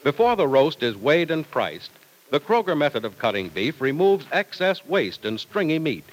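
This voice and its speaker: A man's voice: on the high side, big pitch swings, moderate pace, low-quality recording. An American man announcing in a polished, emphatic 1950s radio style, reading scripted copy. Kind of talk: 1950s radio sponsor pitch and scripted narration.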